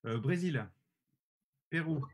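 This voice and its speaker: A man's voice: fairly high pitch, expressive, slow pace, husky. A man chatting casually as a panel guest guessing at answers. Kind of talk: casual chat.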